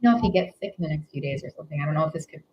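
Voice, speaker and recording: A woman's voice: low voice, racing, dull audio, a little hiss or hum. A woman doing a live tarot reading in casual chat, rambling with many fillers and giggles. Her nose is stuffy. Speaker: tarot reader.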